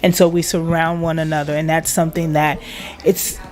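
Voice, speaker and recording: A woman's voice: low-pitched, level tone, fairly quick, a little mellow. A woman from Liberia, a guest in an interview, speaking with pride and emphasis and laughing often. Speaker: guest, internet radio station manager. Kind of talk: casual interview chat.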